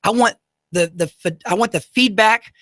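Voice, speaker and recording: A man in his thirties with an unusually high voice, hosting a radio talk show in an upbeat, motivational way with many fillers. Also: normal speed, a bit bright, dry recording, studio quality. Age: 30s. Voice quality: high, unusual voice often mistaken for a woman. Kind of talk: talk radio monologue with ad reads. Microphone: studio microphone.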